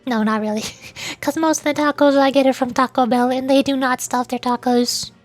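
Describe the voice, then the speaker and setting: A young woman with a high, bright voice chats in a playful, giggly way on her live stream. She talks into a desk microphone at home, and soft music plays faintly behind her. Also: steady tone, a little fast. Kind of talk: casual live-stream chat.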